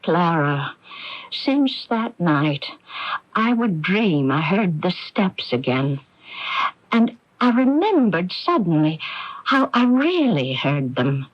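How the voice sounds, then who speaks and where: An actress playing a frail, anxious but quietly relentless middle-aged sister in a radio mystery, speaking deliberately on a radio broadcast recording. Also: female voice, fairly low pitch, very animated, dull audio, heavily acted. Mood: quietly accusing. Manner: halting, repeats words when anxious, deliberate. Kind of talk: old-time radio mystery drama acting.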